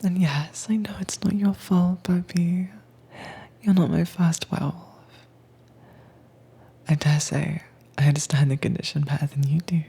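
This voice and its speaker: A woman performing a whispered roleplay as a calm, commanding agent who keeps a werewolf caged, speaking in a breathy, teasing manner close to the mic. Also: deep voice. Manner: cool, teasing, unhurried. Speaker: audio drama voice actress. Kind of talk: soft-spoken whispered roleplay audio.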